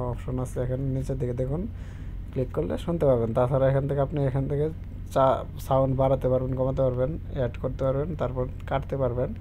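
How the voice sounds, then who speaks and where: Man, tutorial presenter, monotone, soft-edged tone, halting word searches, casual unscripted delivery. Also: mid-range voice, a little hiss or hum.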